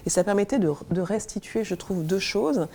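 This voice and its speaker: A woman's voice: mid-range voice, lively intonation, moderate pace, warm tone. A woman from Congo, a senior civil servant, speaking earnestly as a guest in a studio discussion and searching for words as she goes.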